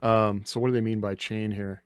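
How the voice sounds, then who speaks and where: A man's voice: lowish voice, flat delivery, a little fast, clean tone. A man talks in an informal, conversational way as part of a podcast panel. The sound is very dry, free of room echo. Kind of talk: casual chat.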